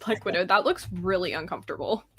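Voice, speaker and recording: A woman's voice: fairly low pitch, quick pace, bright, forceful tone, a little hiss or hum. A woman from California chatting as a guest on a casual livestream, bubbly and laughing easily, often saying "like.". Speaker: guest co-host.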